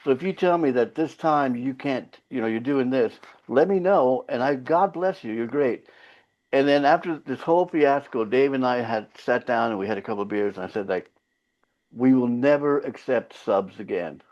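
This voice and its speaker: A Finnish-born singer whose first language is Finland Swedish, telling stories in a loose, profane way as an interview guest. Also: male voice, mid-range voice, moderate pace, a little mellow. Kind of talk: casual interview chat. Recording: slightly dull lo-fi sound.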